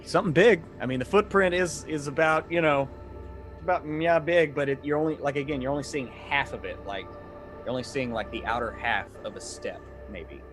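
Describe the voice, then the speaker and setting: A man running a tabletop game, improvising descriptions in a halting, conversational, explanatory way. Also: fairly high pitch, fairly bright tone, faint music behind. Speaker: game master.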